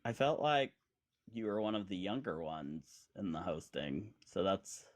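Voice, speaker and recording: A man's voice: deep voice, very animated, unhurried. Rambling, teasing man in his forties. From Indiana, USA. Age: 40s. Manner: rambling, chatty, with lots of fillers and teasing. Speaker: podcast host. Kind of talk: casual live-stream chat.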